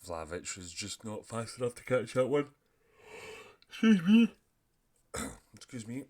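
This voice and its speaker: A man with a sore throat, casually commentating as he plays a football management game and clearing his throat now and then. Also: mid-range voice, measured pace. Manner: relaxed, chatty, clears throat often. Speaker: gaming YouTuber.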